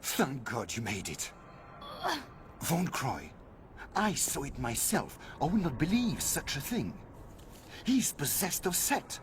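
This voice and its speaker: A man playing an earnest, anxious scholar ally in a game, speaking gravely and urgently. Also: mid-range voice, huge pitch swings, takes it slowly, long pauses. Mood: shaken, relieved. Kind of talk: dramatic game cutscene acting. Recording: clear ambient noise behind the voice.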